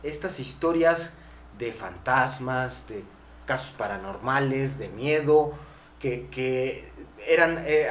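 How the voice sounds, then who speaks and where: Halting Mexican man in echoey, noisy room. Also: mid-range voice. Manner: halting, repeats words while recalling. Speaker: podcast co-host. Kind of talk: casual panel discussion. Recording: echoey room with background noise.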